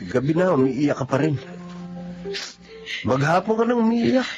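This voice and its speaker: A man's voice: fairly high pitch, sing-song, measured pace, muffled recording, over music. A man acting a young, exasperated but caring ex-boyfriend in a Filipino radio drama, colloquial and nagging, with a Filipino accent. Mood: coaxing, concerned.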